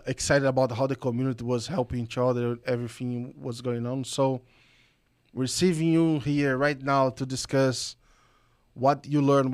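A man from Brazil hosts a podcast and asks his guest questions with open enthusiasm. His voice is mid-pitched and smooth, and he leans on fillers as he goes. The recording is dry and close. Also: darkish tone, no echo. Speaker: podcast host. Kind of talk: podcast interview.

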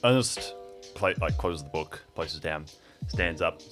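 A man's voice: extremely low pitch, a little slow, over music. A man playing in an improvised audio drama, casually narrating his character's actions.

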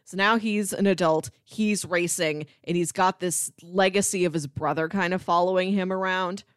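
A woman's voice: lowish voice, fairly even intonation, normal speed, clean tone, no room sound, clean studio sound. A woman co-hosting a podcast, casually discussing and summarizing a film. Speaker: podcast co-host.

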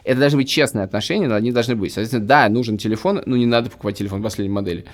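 Guy in his 30s on a podcast, talks super fast, emphatic, keeps rephrasing himself. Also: medium pitch. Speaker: podcast co-host. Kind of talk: casual opinionated podcast chat.

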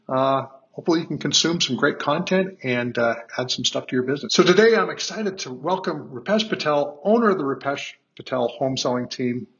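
Male podcast host, middle pitch, halting with lots of "uh", dull lo-fi audio. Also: fairly bright tone. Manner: hesitant, many fillers and restarts. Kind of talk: podcast interview.

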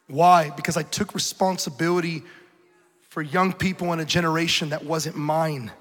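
Bright, high-voiced urgent preacher man. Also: fairly even intonation, normal speed. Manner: urgent, emphatic, rhetorical repetition. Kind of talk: passionate sermon. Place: large church hall. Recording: reverberant hall.

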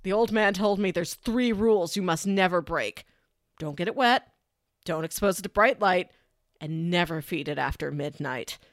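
A woman's voice: fairly low pitch, moderate pace, no room sound. Enthusiastic woman chatting, close dry sound. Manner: enthusiastic, emphatic, uses casual asides. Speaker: podcast co-host. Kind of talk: casual chatty movie pitch.

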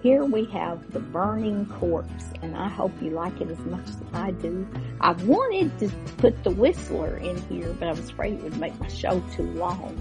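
A woman's voice: lowish voice, very animated, normal speed. A woman hosting a radio show, introducing features in a casual, chatty way. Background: guitar music.

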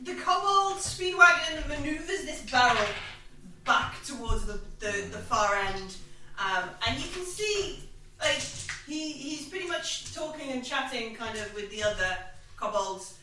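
Game master, female, high bright voice, recorded far off in an echoey room. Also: animated, measured pace. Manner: hesitant, many ums. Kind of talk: narrating a tabletop game. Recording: roomy room, distant mic.

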